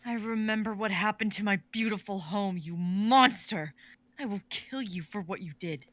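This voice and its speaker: A woman's voice: medium pitch, normal speed, fairly bright tone, dry recording, natural voice. A woman voicing Skeela, a bitter, vengeful lady of the house. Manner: intense, vengeful.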